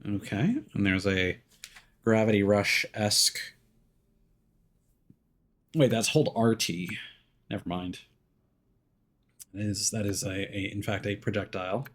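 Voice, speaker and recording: A man's voice: lowish voice, unhurried, pauses often. A man streaming a video game, giving casual live commentary and thinking aloud as he plays.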